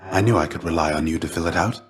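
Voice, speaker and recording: A low-pitched, world-weary warrior shade, played by a man for a video game. He speaks with measured, archaic formality and theatrical weight. His temper is gentle and earnest, that of a fatherly old mentor guiding a younger pupil. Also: close to an everyday voice. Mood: warm, confiding. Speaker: voice actor.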